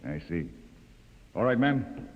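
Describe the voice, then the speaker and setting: An actor playing an arrogant, suspicious lieutenant who barks orders, in 1950s radio drama style on an old muffled recording. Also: male voice, medium pitch, very animated, slow pace, big-room sound. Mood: sneering, suspicious. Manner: barking, peremptory, sneering. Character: arrogant, hard-headed military lieutenant, suspicious and commanding.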